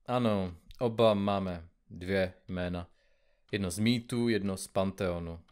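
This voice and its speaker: A man acting a brooding detective in an audio drama. His voice sits on the low side, and he mutters with worn-out exasperation, like someone set on a hard search. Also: a little mellow, lightly acted. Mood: exasperated, focused.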